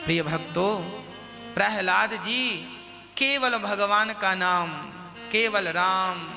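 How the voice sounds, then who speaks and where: A man's voice: sits high, slow, creaky, long reverb tail, music in the background. A man preaching a devotional sermon, scolding and questioning his listeners with rhetorical questions.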